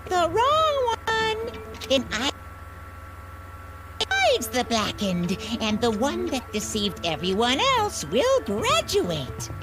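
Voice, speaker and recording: Creepy little game mascot, super singsongy and slow, mocking, loud music behind. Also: female voice, high voice, wildly swooping pitch, full character voice. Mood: mock-formal glee. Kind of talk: video game voice acting. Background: loud background music.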